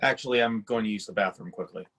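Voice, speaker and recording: An actor playing a detached, resentful grown son in a readers theatre drama. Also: male voice, fairly low pitch, quick pace, noisy background, lightly acted. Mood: guarded, awkward. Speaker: actor in a staged reading. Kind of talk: dramatic inner monologue and dialogue.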